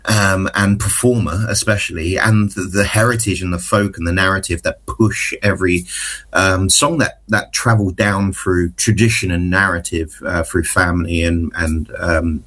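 A man's voice: low voice, fairly even intonation, normal speed, a bit bright. A man interviewing a performer, asking long, meandering questions full of fillers.

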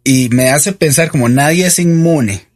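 A man's voice: a little high, unhurried, no echo, clean studio sound. A man, hosting a conversational religious interview. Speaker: interviewer, host.

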